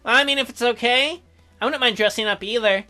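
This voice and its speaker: A man's voice: very high-pitched, brisk, sharp tone, faint music behind, voice pushed up, exaggerated voice. A man voicing an awkward, gloomy young man in a visual novel, hesitant and defensive. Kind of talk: acted visual novel dialogue.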